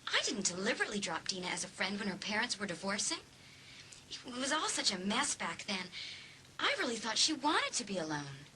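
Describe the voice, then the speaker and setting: Actress as emphatic teen heroine in horror audio drama; lively swooping delivery, forceful edge, slight background noise. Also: female voice, medium pitch, a little fast, poor audio. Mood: regretful. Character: determined, accusing teenage girl confronting a treacherous friend.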